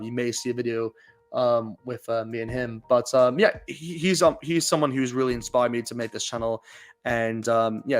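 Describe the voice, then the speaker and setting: A young man with a mixed, hard-to-place accent chats quickly to camera about rugby. He rambles, circling back with fillers and restarts, at a middling pitch. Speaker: rugby YouTuber. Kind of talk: casual to-camera vlog.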